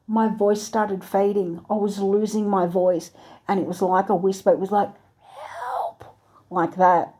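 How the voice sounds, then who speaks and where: A woman earnestly recounting a dream, halting now and then to search for words. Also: mid-range voice, mellow. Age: adult with a three-year-old daughter. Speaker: channel host recounting a dream. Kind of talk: personal dream testimony.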